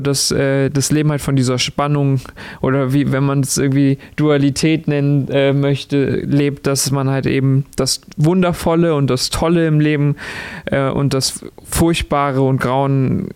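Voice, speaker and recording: A man's voice: medium pitch, flat delivery, normal speed, darkish tone, smooth, no echo. A young man from Ecuador, a musician guest on a radio show, talking reflectively and often restarting as he searches for words. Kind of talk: radio interview.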